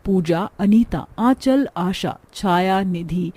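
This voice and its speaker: A woman's voice: medium pitch, takes it slowly, warm tone, breathy edge, dry recording. A woman narrating a scripted cartoon story in a dramatic storytelling manner. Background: background music.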